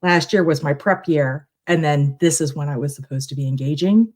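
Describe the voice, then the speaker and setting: A woman's voice: sits low, moderate pace, a little mellow. A middle-aged woman chatting casually as a guest on a live stream, talking in a reflective, long-winded way. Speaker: guest tarot reader bringing a question.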